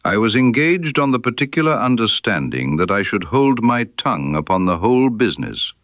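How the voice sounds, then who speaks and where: A man voicing a proud, stubborn sculptor in an audiobook, scornful of flattery, with blunt, emphatic, formal period speech. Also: fairly low pitch, fairly quick, no room sound, muffled recording, voice pushed up. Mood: wary, defiant. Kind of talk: character dialogue in an audiobook.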